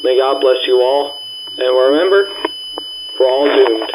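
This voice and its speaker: A man reading a mock emergency alert address in a halting way, stumbling and repeating words. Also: mid-range voice, noisy background. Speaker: amateur emergency broadcast announcer playing a president's address. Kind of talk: mock emergency alert announcement, read aloud.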